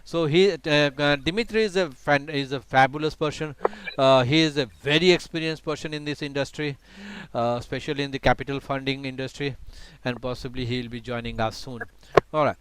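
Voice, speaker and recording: A man's voice: medium pitch, fairly even intonation, brisk, hardly any pauses, a bit bright, a little hiss or hum. A man whose first language is not English, teaching a live online trading class over a video call, talking with many fillers and restarts.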